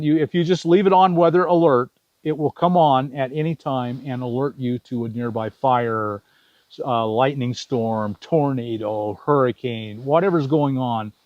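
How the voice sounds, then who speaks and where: A man's voice: medium pitch, darkish tone, no room sound. A man giving a casual product review, explaining things plainly and repeating points for emphasis. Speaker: product reviewer for van life gear. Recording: slightly dull, dry lo-fi sound.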